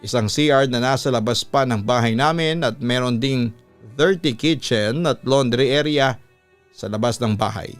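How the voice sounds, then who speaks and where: A Filipino man with a clear, resonant voice reads a horror story like a radio host. His delivery is measured and deliberate, stretching words for weight. The sound is close and dry, with faint music underneath. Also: mid-range voice, no room sound. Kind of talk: scripted horror-story narration of a listener's letter. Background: background music.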